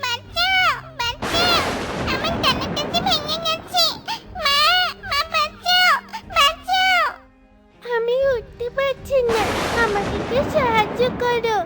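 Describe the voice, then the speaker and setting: Squeaky-high helpless baby bird. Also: female voice, slow pace. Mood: pleading, distressed. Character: frightened little baby birds, helpless and pleading. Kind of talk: children's cartoon dialogue. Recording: music behind the voice.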